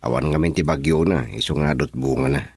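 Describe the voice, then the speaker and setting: Low-voiced modest young farmer. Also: male voice, a little fast, darkish tone, slightly rough, no echo, full character voice. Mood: easygoing, friendly. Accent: Filipino. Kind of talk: radio drama acting.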